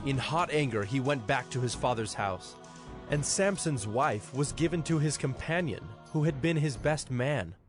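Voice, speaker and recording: A man's voice: medium pitch, lively intonation, moderate pace. Male scripture narrator over music.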